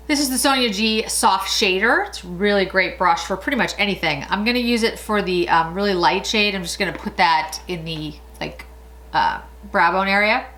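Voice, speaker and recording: A woman's voice: mid-range voice, fairly bright tone. A woman in her late forties chatting casually through a makeup tutorial, rambling and laughing often. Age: 40s. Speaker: beauty vlogger.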